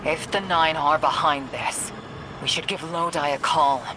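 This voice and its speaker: An actress playing a stern, dutiful warrior in a video game cutscene, speaking in a clipped, businesslike way. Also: female voice, deep voice, unhurried, noisy background. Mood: urgent, businesslike. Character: stern, dutiful female warrior, brisk and guarded.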